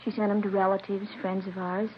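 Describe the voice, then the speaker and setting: A woman playing a casual, cooperative young college graduate in a 1950s radio drama, plainspoken and unhurried. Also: medium pitch, flat delivery, natural voice. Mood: offhand, thoughtful. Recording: muffled, noisy vintage radio recording.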